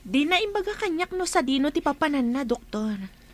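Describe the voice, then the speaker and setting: A woman's voice: sits high, sing-song, brisk. A woman playing an anxious worried friend. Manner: anxious, questioning. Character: worried woman asking a doctor about a friend.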